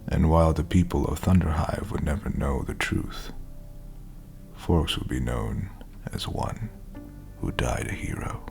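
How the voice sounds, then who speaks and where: A man with a deep, gravelly voice reading a scripted story epilogue. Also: animated, unhurried, a little mellow, soft background music. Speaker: narrator.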